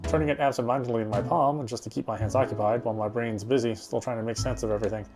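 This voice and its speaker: Male narrator for horror game playthrough: medium pitch, brooding read-aloud delivery, music underneath. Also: steady tone, very fast. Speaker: game player reading story text. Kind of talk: reading game narration aloud, expressive first-person.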